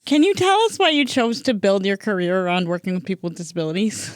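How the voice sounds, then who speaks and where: A woman's voice: mid-range voice, big pitch swings, fast talker, no echo. A woman hosting a podcast interview, upbeat and chatty with frequent fillers. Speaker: podcast host.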